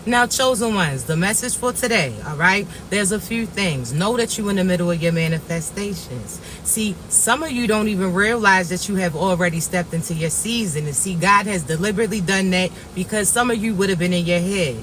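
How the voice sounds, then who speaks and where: A man with a raspy voice giving a slow, reassuring spiritual message to viewers, often checking in with "all right?". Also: high-pitched, a bit bright, slight background noise, low-quality recording. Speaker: spiritual reader.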